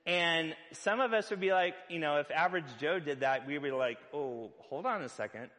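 A man preaching a sermon as pastor, loud-voiced and conversational, with filler words and playful asides. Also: on the high side, moderate pace, long reverb tail.

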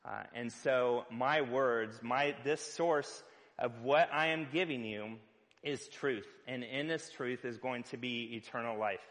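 A man preaching a sermon as pastor, loud-voiced and conversational, with filler words and playful asides. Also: medium pitch, slow pace, in a large hall, poor audio.

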